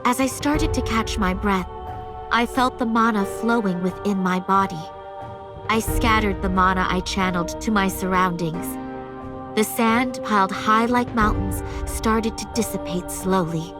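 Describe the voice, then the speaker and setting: Woman acting a slow-spoken, earnest young vampire heiress. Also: mid-range voice, music in the background, close to an everyday voice. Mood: focused. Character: determined young female vampire heir seeking her sister. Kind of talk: dramatic game voice acting with narration.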